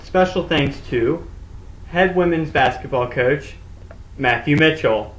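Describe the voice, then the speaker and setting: A man's voice: a little high, takes it slowly, a bit bright, reverberant, a little hiss or hum, rough recording. A man giving a scripted thank-you announcement for a show's credits.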